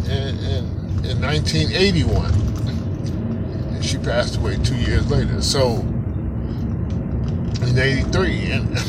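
A man's voice: on the high side, heavy background noise. A man in his fifties hosting a casual solo podcast, rambling and reflective, repeating himself as he talks. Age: 50s. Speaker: podcast host.

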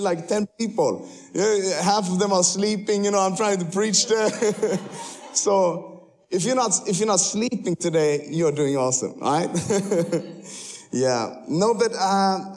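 Chatty Swedish-born man preaching. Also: high voice. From Sweden. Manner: chatty, joking, frequent laughs and fillers. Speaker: guest missionary preacher. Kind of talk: casual sermon to a church crowd. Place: church sanctuary.

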